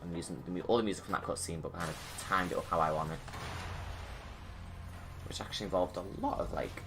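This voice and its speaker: A man's voice: sits low, fairly even intonation, quick pace, background noise. A man giving casual, rambling, enthusiastic running commentary as the creator of a film. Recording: loud film music behind. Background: game film soundtrack.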